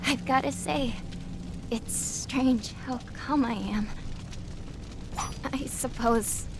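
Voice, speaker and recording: A dutiful young kunoichi in a dramatic game scene. The voice is high and extremely slow, halting and earnest, with clear ambient noise around it. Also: female voice. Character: dying young female ninja student, dutiful and calm. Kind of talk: dramatic video game cutscene acting.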